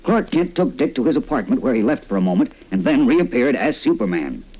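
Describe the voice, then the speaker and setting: A man with a husky voice giving dramatic scripted narration as a 1940s radio announcer. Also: mid-range voice, very animated, fairly quick. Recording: dull old radio recording.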